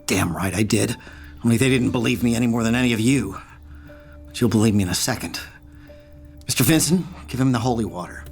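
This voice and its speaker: A man's voice: mid-range voice, a little fast, gravelly, long pauses, soft background music, lightly acted. A man playing an earnest, frantic teenage boy in a dramatic table read, urgent, blunt and insistent. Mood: defiant.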